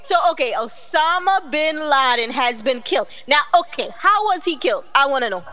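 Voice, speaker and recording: A middle-aged woman hosting a casual online talk show, animated and exclaiming, laughing often. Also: sits high, normal speed, lo-fi sound, slight background noise. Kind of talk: casual live-stream chat.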